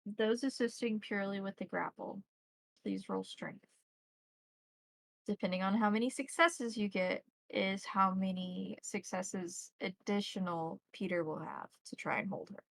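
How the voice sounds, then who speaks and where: A woman's voice: medium pitch, fairly even intonation, measured pace, vocal fry, no echo. A woman narrating a tabletop roleplay and making rules calls as game master.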